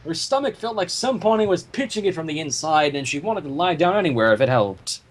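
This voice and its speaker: A man reading fan fiction aloud as the narrator, in an animated storytelling style. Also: on the high side, fairly quick.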